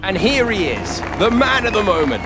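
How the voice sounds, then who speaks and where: A man's voice: high-pitched, big pitch swings, moderate pace. An actor playing a confident, polished male motorsport commentator in a game. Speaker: race commentator. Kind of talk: race commentary. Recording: loud music and crowd behind.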